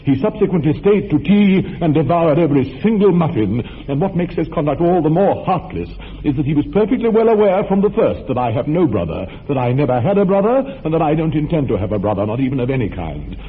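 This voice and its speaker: A man voicing a stiff, proper young English gentleman in a radio play, speaking formally and with mock gravity. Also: on the high side, animated, fast talker, few pauses, lightly acted. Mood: aggrieved. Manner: formal, precise, mock-grave speech. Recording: thin narrow-band radio recording with faint hiss.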